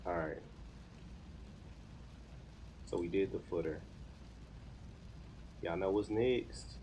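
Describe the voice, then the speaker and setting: A man's voice: low voice, takes it slowly, warm tone, a little hiss or hum, low-quality recording. An American man in his thirties chatting casually on a live stream, informally thinking aloud as he works. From United States. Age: 30s. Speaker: live streamer learning web development.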